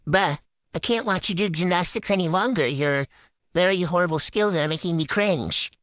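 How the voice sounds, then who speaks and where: A woman's voice: fairly low pitch, moderate pace, fairly bright tone, no echo. A woman voicing characters in a game video with playful improvised banter, giggly and boastful, full of teen slang. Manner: giggly, silly, bragging, talks in teen slang.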